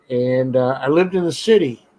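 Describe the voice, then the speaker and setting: A man's voice: mid-range voice, darkish tone, rough recording. A man from New York hosting a live stream in casual chat, blunt and jokey with profanity.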